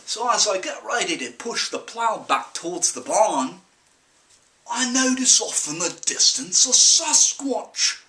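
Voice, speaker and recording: Young farm lad, melodramatic, panicked storyteller, mixed Australian-Irish-English accent, bright forceful voice, distant from the mic. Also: male voice, high-pitched, very animated, pitched above the performer's own voice, heavily acted. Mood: folksy, dramatic. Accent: put-on mix of Australian, Irish and English. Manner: melodramatic, drawn-out emphasis. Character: excitable young farm lad telling a panicked monster tale. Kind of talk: theatrical comic audition monologue. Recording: roomy camcorder sound, distant from mic.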